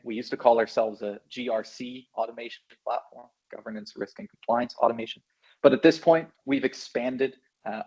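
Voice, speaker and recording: A man's voice: mid-range voice, a little mellow, husky. A man from Belgium with an American-sounding accent, giving an upbeat, chatty product presentation. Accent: American-sounding English. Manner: upbeat, chatty, self-deprecating. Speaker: channel business manager presenting a product. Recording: dull lo-fi sound.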